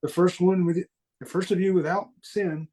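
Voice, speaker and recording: A man with a mild Southern US accent, speaking earnestly and haltingly as he reflects on his own experiences in a conversational interview. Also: high-pitched, steady tone, dark tone, rough recording. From American Deep South. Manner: earnest, halting, repeats phrases for emphasis. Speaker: podcast guest recounting paranormal experiences.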